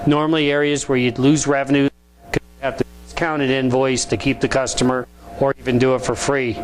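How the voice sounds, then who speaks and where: A man giving an informal promotional explainer as a sales presenter, in an instructional, salesman-like manner. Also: medium pitch. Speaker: business phone tools sales presenter. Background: ambient vehicle noise in a motorcycle service department.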